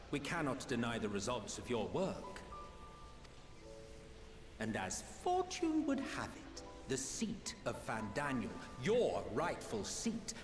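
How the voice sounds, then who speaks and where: A man playing a stern, imperious envoy of a dark order in a game cutscene, formal and authoritative. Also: mid-range voice. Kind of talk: dramatic game cutscene acting. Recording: echoing voice over music.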